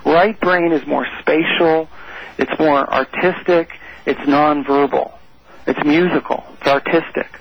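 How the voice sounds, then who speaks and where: A man's voice: a little high, steady tone, a little slow, husky, muffled recording. A man as a guest expert on a radio talk show, explaining ideas step by step with many "you know"s.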